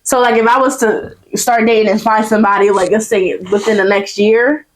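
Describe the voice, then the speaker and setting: A woman's voice: mid-range voice, steady tone, brisk, rough recording. A woman in her late twenties, a vlogger asking relationship questions in a playful, teasing casual chat and laughing often. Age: late 20s.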